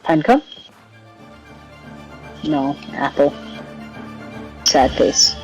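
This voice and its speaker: Female gamer, lively swings in pitch, playful running commentary to herself, faint music underneath. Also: extremely slow. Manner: playful, exclamatory, talks to herself. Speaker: gaming streamer. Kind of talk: casual game playthrough commentary. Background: video game music.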